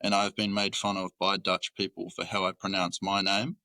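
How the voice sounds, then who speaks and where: A man's voice: sits low, monotone, moderate pace, pushed and bright, no echo. A young man from New Zealand with a Kiwi accent, a podcast guest talking openly and thoughtfully about work. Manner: open, reflective, many fillers. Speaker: guest, employee. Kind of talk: casual podcast chat.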